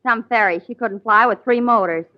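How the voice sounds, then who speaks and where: A woman's voice: a little high, normal speed. A woman making dry, sarcastic heckling remarks in 1940s radio comedy. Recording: dull 1940s radio broadcast.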